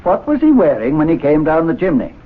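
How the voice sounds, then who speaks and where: A man's voice: on the high side, huge pitch swings, fairly quick, slightly rough, exaggerated voice. A mocking, skeptical clubman in a vintage radio play. His intonation leaps widely, and his voice carries some husk. It comes through a tinny old broadcast sound. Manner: mocking, scoffing. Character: sardonic, skeptical male club member who scoffs at the others.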